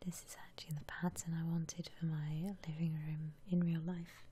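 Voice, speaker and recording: A woman whispering softly and breathily into a close microphone, musing aloud in casual ASMR gameplay commentary. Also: low-pitched, flat delivery, normal speed, a little hiss or hum. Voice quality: soft breathy whisper, almost entirely unvoiced. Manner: gentle, musing aloud to herself. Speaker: ASMR gamer narrating her house renovation gameplay.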